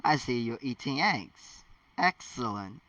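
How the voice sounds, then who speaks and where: Guy doing flippant mock innocent schoolboy in a story, bouncy pitch, muffled lofi sound. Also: lively intonation, unhurried, pushed and bright, dry recording. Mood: mock cheerful. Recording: dull lo-fi, distant mic.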